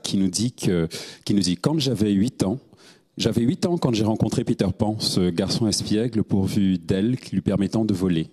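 Young man, low voice, husky, calm reading, studio reverb. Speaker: actor reading dedications. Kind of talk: read-aloud. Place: small studio set. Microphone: handheld mic.

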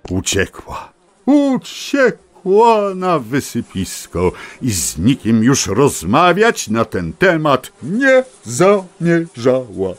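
A man narrating a children's tale in a theatrical, dramatic, expressive way. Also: medium pitch, slow pace. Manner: dramatic, expressive, addresses listeners. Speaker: storyteller narrator. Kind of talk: theatrical scripted children's storytelling.

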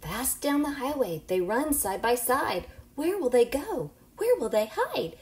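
A woman in her forties reading aloud to young children in an animated, questioning, teacherly way. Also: high-pitched, measured pace, reverberant. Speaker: children's storybook reader. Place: small home room, indoors.

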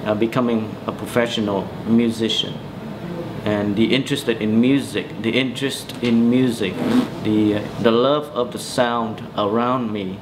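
A man in his forties from Vietnam with a Vietnamese accent, recounting memories in a halting, hesitant way as he searches for words in an interview. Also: fairly low pitch, fairly even intonation, noisy background. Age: 40s. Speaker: interviewee, musician. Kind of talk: oral history interview, personal recollection. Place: small bedroom, indoors.

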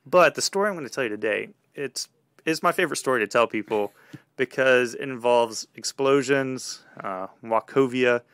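Gravelly-voiced man telling a casual story. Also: medium pitch, moderate pace, a bit bright, no room sound. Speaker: podcast host telling a personal story.

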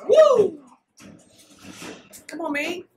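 A woman in her thirties hosting a loud movie reaction at home, exclaiming and shouting at the screen. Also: very high-pitched, sing-song, extremely slow, very noisy.

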